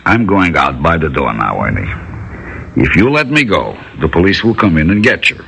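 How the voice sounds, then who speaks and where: An actor playing a weary, stubborn old shopkeeper who is calmly defiant, in a 1960s radio drama. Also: male voice, sits low, measured pace, gravelly, pauses often, husky, heavily acted. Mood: calm, resolute.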